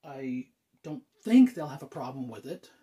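Man acting anxious, halting thirty-year-old, widely swinging pitch. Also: big pitch swings, warm tone, husky. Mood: panicky, torn. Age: 30s. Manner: halting, nervous, sighs and stammers. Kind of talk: dramatic monologue, self-taped acting.

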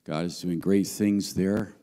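A middle-aged visiting pastor with a handheld mic, his voice going hoarse, speaking earnestly with many fillers. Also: deep voice, steady tone, measured pace, in a large hall. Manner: warm, earnest greetings and prayer with frequent fillers. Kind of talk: sermon. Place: large church hall, on stage. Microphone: handheld microphone.

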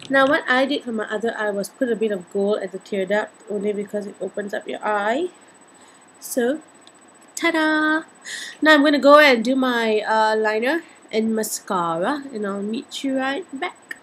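A woman in her twenties with a Singaporean accent and a slightly husky voice, chatting playfully through a casual makeup tutorial. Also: on the high side, moderate pace, a bit bright, slight background noise, poor audio. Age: 20s. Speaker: beauty vlogger. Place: indoors, small home room.

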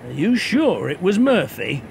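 A man's voice: a little high, very animated, gravelly, heavily acted, rough recording. A man playing a nostalgic, contrary football supporter in a radio play, talking in a ruminative way. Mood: musing. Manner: slow, ruminative. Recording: faint train noise behind.

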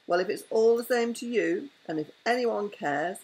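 A woman's voice: mid-range voice, moderate pace, a little mellow, husky, lightly acted, low-quality recording. Woman doing a glum, gloomy donkey. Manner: glum, self-pitying.

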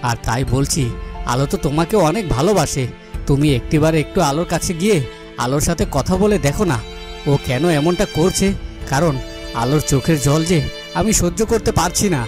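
A man playing a gently commanding, concerned king in a cartoon fairy tale, speaking in an earnest, measured way. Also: on the high side, animated, music in the background, lightly acted. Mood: concerned, coaxing.

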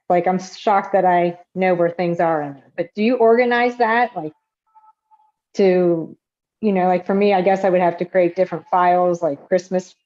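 A woman's voice: fairly low pitch, a little mellow. A woman taking part in an online workshop, chatting casually and self-deprecatingly as she asks questions over a video call. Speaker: workshop participant. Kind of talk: casual chat, asking questions. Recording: dull, lo-fi video call audio.

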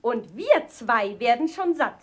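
A hard-hearted fairy-tale stepmother with a high, swooping voice. She scolds and orders in sharp, theatrical lines for a children's audio play. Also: big pitch swings, slow, heavily acted. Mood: scheming. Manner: sharp, commanding rhymed lines.

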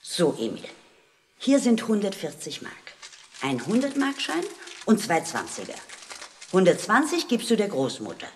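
A woman's voice: mid-range voice, wildly swooping pitch, moderate pace. A woman playing a caring, fussing mother in a 1960s radio play, giving motherly instructions and admonishments. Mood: careful, instructing. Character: caring, fussing working-class mother of a schoolboy. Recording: vintage record with background noise.

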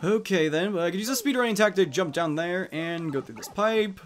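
Animated man doing game commentary. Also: high-pitched, a little fast, a little hiss or hum. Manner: jokey, playful asides. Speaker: video game let's player.

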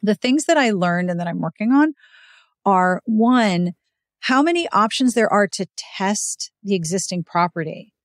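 A woman's voice: medium pitch, animated, a little slow, dry recording, studio quality. A woman hosting a podcast, chatting casually and expressively in an interview. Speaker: podcast host.

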